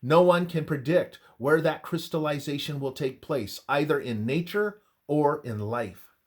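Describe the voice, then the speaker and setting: A man reading a scripted religious devotional in a measured, deliberate way, with emphatic phrasing and pauses. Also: fairly high pitch. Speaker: reader of a religious devotional text.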